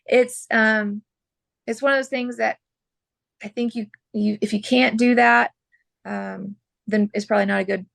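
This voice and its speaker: A woman from Oklahoma, a butcher, talking frankly as a podcast guest while losing her voice and clearing her throat. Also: a little high, fairly even intonation, a little slow, pauses often, a bit bright, no room sound, studio quality. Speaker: butcher and mobile processor owner.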